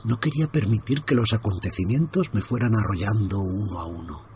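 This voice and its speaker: Low-voiced guy narrating a creepy story, tense and hushed, bit of faint noise behind. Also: a little fast. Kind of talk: first-person horror story reading.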